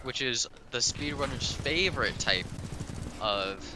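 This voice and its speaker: A man's voice: medium pitch, animated, measured pace. A man co-commentating casually on a live speedrun, with wry, joking banter. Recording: clear background noise, game ambience.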